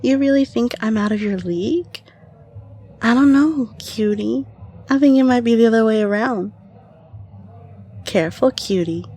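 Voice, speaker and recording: A woman's voice: a little high, moderate pace, long pauses, dark tone. A woman voicing a flirtatious, possessive female fae in a scripted audio roleplay, coy and teasing. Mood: flirty, coy.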